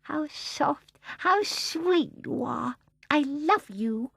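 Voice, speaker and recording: A woman voicing a sweet, affectionate baby girl in a storybook, with a soft, dreamy manner. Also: on the high side, lively intonation, moderate pace, no echo, studio quality, pitched above the performer's own voice. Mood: blissful.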